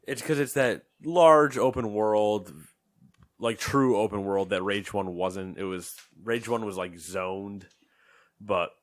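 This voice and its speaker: A man's voice: lowish voice, slow, no echo. A man co-hosting a gaming podcast in casual chat, rambling and joking, often trailing off into mumbles. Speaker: podcast co-host.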